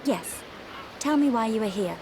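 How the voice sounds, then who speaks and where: A woman's voice: fairly high pitch, expressive, moderate pace, background noise. An actress playing a reserved, dignified young noblewoman in a video game, with a formal, clipped delivery. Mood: formal, composed. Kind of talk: video game cutscene acting.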